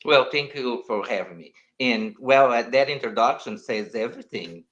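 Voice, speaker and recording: A man's voice: mid-range voice, moderate pace, fairly bright tone, lo-fi sound, a little hiss or hum. An older man from Brazil with a Brazilian Portuguese accent, chatting as an interview guest, rambling and restarting his sentences. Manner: rambling, restarts sentences, non-native phrasing. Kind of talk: casual conversation.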